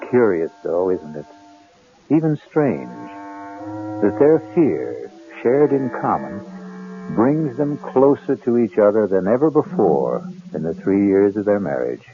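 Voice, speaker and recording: A man acting as the grave host of a radio mystery anthology, narrating in a measured, theatrical, musing way. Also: fairly low pitch. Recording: muffled old radio broadcast. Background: faint music.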